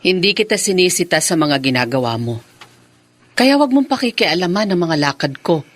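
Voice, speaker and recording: A woman's voice: fairly low pitch, lively intonation, moderate pace. A woman playing a prickly, evasive new partner of a widower in a Filipino radio drama. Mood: irritated, evasive.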